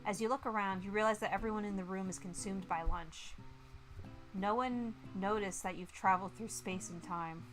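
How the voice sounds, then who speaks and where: A woman livestreaming a visual novel, reading it aloud and chatting with giggly, expressive reactions. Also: medium pitch, normal speed, faint music behind, poor audio. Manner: giggly, expressive, reacting between read lines. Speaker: streamer playing a visual novel. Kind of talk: livestream game read-along with chatty reactions.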